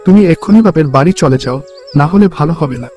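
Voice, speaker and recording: A man's voice: a little high, expressive, fast, darkish tone, faint music behind, lightly acted. A man voicing a righteous, devoted young married son in a cartoon, stern and reproachful. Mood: angry, indignant.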